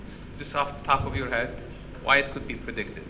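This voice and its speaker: A man with a loud voice giving an interactive medical lecture, quizzing the audience and restarting his sentences often. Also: mid-range voice, little pitch movement, brisk, background noise. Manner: questions the audience, many restarts. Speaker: physician lecturer. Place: conference hall.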